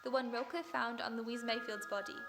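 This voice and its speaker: A woman playing a composed, sharp detective in an old-time radio drama, cool and in command. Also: on the high side, steady tone, brisk, music in the background, close to an everyday voice.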